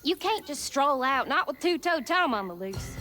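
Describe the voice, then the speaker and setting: Caring church-going southern lady, folksy, guarded, high voice, game dialogue, bit of music under. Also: sing-song, moderate pace, bright, forceful tone, exaggerated voice. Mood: worried. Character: caring, devout Southern woman, protective and guarded. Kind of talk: video game voice acting. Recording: faint music behind the voice.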